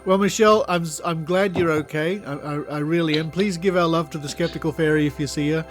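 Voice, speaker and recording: A man's voice: a little high, steady tone, fast, soft background music. A man with an Australian accent hosts a podcast in an informal, conversational style. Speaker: podcast host.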